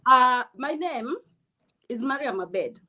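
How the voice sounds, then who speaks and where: A woman's voice: high-pitched, animated, slow, sharp tone, slight background noise. A woman from Kenya, giving blunt, emphatic political opinions as an interview guest.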